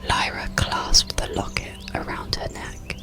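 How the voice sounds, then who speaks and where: A storyteller whispering a bedtime story and guided relaxation in a breathy, soothing, gentle ASMR manner. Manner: slow, soothing, gentle delivery.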